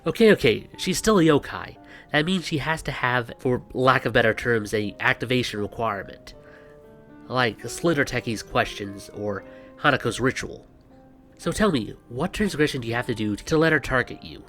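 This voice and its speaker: Male host, medium pitch, scripted banter, sarcastic, wry, exasperated, faint music underneath. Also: lively intonation, moderate pace, a bit bright. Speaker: video host. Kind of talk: scripted explainer narration with banter. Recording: music behind the voice. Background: background music.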